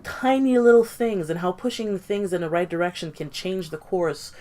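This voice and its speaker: A woman's voice: on the low side, normal speed, warm tone. A woman whose first language is not English, thoughtfully discussing a novel on a book podcast panel, with many restarts.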